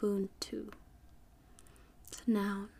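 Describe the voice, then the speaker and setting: Slow, breathy whispering woman. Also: mid-range voice, monotone. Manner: soft, unhurried, repeats and spells words slowly. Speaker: podcast host. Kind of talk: whispered ASMR word reading for sleep.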